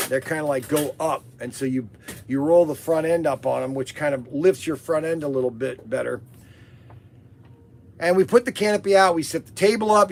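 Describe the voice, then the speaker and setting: Hoarse, gravelly man telling a rambling story. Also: fairly high pitch, slight background noise. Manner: rambling with many fillers and restarts. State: losing his voice, hoarse. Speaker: host of a toy business live podcast. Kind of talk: casual livestream storytelling.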